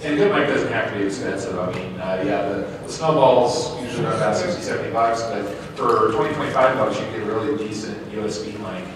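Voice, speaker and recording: A man's voice: on the low side, quick pace, hardly any pauses. A man chatting casually as an audio drama crew member answering questions on a convention panel. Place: large indoor convention room. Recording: echoey hall, distant mic, crowd behind.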